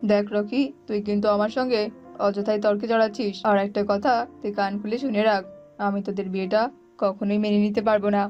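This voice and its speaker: A woman's voice: medium pitch, flat delivery, quick pace, natural voice. A woman voicing a stern, domineering male village-chief bird in a cartoon, scolding emphatically. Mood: stern disapproval. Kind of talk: cartoon dubbing. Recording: muffled audio with background music.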